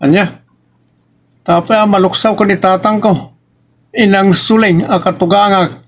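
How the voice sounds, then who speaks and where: A man's voice: high voice, steady tone, unhurried. A man with an Ilocos accent playing an aggrieved married son who questions his parents insistently in a drama. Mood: troubled. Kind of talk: Ilocano audio drama acting.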